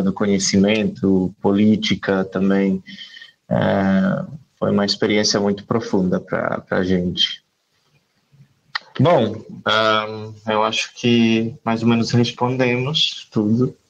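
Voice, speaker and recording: Hesitant man with a slow, gravelly voice. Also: fairly low pitch. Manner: hesitant, many fillers and restarts. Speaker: filmmaker and researcher. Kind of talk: casual panel talk. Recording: dull lo-fi online call audio.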